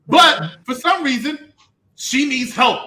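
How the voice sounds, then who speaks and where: A man hosting an animated review show, ranting with theatrical emphasis and repeating himself for effect. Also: very high voice, slow pace. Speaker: host.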